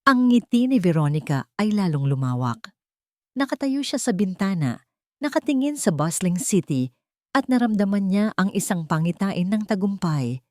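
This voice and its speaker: A woman's voice: mid-range voice, very animated, moderate pace, dark tone, no room sound, clean studio sound. A woman reading a scripted romance story in a dramatic storytelling style.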